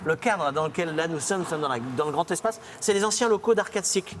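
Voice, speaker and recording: A man's voice: a little high, fast, a bit bright. A man hosting an informal, chatty interview, putting questions conversationally. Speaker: television interview host. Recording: clear background noise and ambience.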